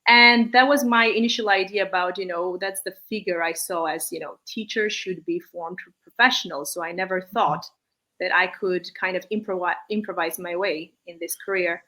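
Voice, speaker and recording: A woman's voice: lowish voice, steady tone, normal speed, sharp tone, rough recording. A woman from Armenia, an English speaker, giving conversational interview answers with hesitant, circling phrasing. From born in Armenia, raised partly in Malaysia. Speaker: interview guest, English teacher.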